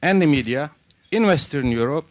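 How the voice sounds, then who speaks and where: A man's voice: fairly high pitch, lively intonation, normal speed. A man speaking from the audience at a panel session. He stumbles and restarts at first, then builds a firm argument and ends by asking the panel to respond. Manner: starts hesitant, then argues firmly. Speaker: audience questioner. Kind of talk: question from the floor.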